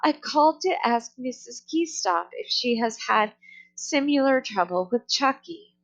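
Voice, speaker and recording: A woman's voice: a little high, lively intonation, a little slow, lo-fi sound. A woman voicing a worried, fussy storybook mother who frets and exclaims about her child. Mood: upset. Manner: fretful, exclamatory.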